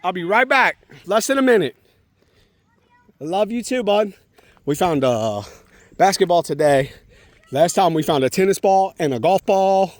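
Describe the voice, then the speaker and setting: Encouraging dad chatting casually outdoors. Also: male voice, sits high, expressive, normal speed, pauses often, no echo. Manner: encouraging, informal, addresses child as man and bud. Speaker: father and vlogger. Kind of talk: casual chat with a young child. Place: outdoors at a park.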